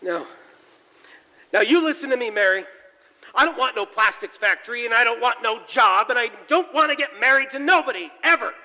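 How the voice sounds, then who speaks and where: Man acting a flustered young suitor, high bright voice. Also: expressive, fairly quick, heavily acted. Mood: angry, passionate. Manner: stammering, hesitant, blustering. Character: flustered, stubborn young man reluctantly courting, easily exasperated. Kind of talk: 1940s radio drama acting. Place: large church, before an audience. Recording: live church performance, long hall reverb.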